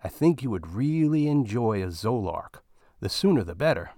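A man's voice: on the low side, big pitch swings, moderate pace, darkish tone, no echo, studio quality. A man voicing a crude, talkative male space miner with a folksy, ungrammatical drawl. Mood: boastful.

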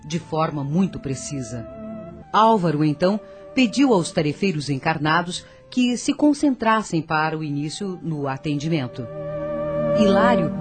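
A woman narrating a scripted radio drama in a solemn, formal storytelling manner. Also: sits low.

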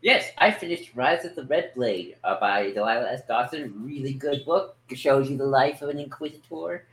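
A man's voice: medium pitch, moderate pace, a bit bright, slightly rough, rough recording. A man co-hosting a podcast, chatting casually in a loose, rambling way as he thinks aloud. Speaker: podcast co-host. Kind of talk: casual chat about books.

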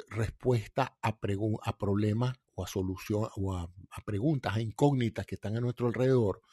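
A man's voice: medium pitch, warm tone, breathy edge, dry recording, clean studio sound. A middle-aged man who hosts a science talk, explaining ideas patiently with everyday examples.

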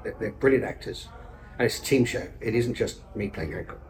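A man's voice: mid-range voice, a little fast, darkish tone, rough recording. A man, a stage actor and director, talking in a casual, enthusiastic, rambling way as a podcast guest. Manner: enthusiastic, rambling, self-interrupting with fillers. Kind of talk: casual conversational interview.

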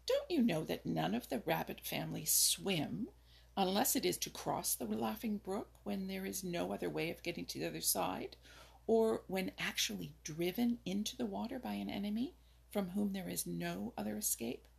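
A woman voicing a touchy young male rabbit in a storybook, with a raspy, gravelly voice. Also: mid-range voice, moderate pace, heavily acted. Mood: defensive. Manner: indignant, defensive.

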